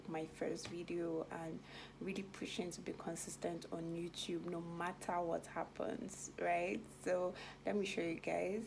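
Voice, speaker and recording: A woman's voice: medium pitch, darkish tone, a little hiss or hum. A young woman chatting casually to her camera as a vlogger, talking loosely with lots of "like" and "so". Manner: chatty, leans on "like" and "so". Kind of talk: casual vlog chat to camera.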